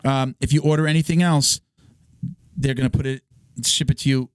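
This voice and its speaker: A man co-hosting a podcast, his voice hoarse today. It sounds smooth and close, recorded dry in a studio. He talks casually and stumbles through sponsor reads. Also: mid-range voice, fairly even intonation, no room sound. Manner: reads ads in a quick, stumbling way. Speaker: podcast co-host.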